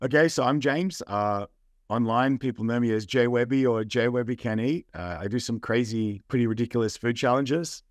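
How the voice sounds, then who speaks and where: A man's voice: medium pitch, moderate pace, no echo. A young adult man with an Australian accent, chatting as a guest in a casual, rambling way with lots of fillers. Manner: laid-back, rambling with fillers. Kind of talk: casual interview.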